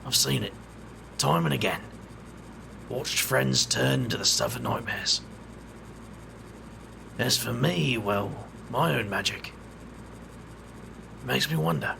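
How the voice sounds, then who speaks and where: A man's voice: medium pitch, lively intonation, takes it slowly, gravelly, pauses often, noisy background, exaggerated voice. A man voicing a brooding, world-weary wizard investigator with a wry, dry way of talking. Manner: wry, brooding, muttering asides.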